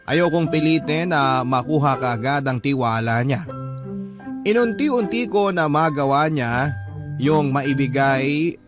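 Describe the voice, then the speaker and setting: A man plays a sincere, patient boyfriend reading his love letter for a radio drama. His mid-range voice has a Filipino accent and a measured storytelling delivery. Music plays clearly behind him. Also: mid-range voice, lightly acted.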